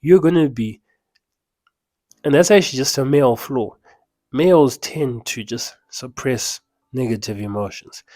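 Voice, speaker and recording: A man's voice: medium pitch, measured pace, pauses often, dark tone, no room sound. A young man with a Zimbabwean accent, giving an emphatic, conversational motivational talk with chatty asides. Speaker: mindset coach. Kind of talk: casual motivational talk to camera.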